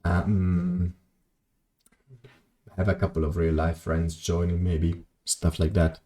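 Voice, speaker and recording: A man's voice: little pitch movement, slow, warm tone, a little hiss or hum. A young man, chatting loosely while live-streaming a game, full of ums and self-deprecating asides. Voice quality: very low voice. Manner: rambling, self-deprecating, many ums and restarts. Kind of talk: casual live-stream chat while gaming.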